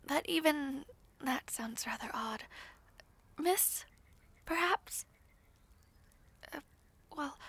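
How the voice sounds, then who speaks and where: Woman acting a shy former maid, high gentle voice. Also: gravelly, exaggerated voice. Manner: soft-spoken, hesitant, formal and apologetic. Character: shy, humble young former maid, politely flustered in new luxury. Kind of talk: scripted audio roleplay acting.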